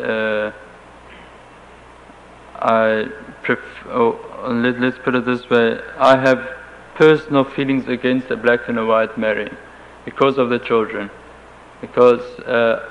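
A German-accented man whose first language is German, speaking in a flat, level tone with little movement in pitch. He answers slowly and carefully, often restarting his sentences. The recording is a dull lo-fi tape with a faint hiss underneath. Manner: halting, careful, with frequent restarts. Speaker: interviewee.

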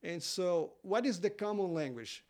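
A man with a high voice, speaking English as a non-native language. He is a seminary teacher answering questions as a guest on a podcast. His talk is halting, full of fillers and restarts. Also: expressive, a little mellow. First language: not English.

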